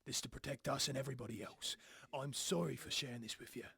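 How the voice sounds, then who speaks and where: An actor playing a tense, defeated boss with a gravelly, husky voice in an audio drama, grim and defensive. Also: male voice, medium pitch, quick pace, bright, forceful tone, no echo, exaggerated voice. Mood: stern resignation. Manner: grim, resigned, sternly defensive. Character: tense, defeated male boss guarding a terrible secret.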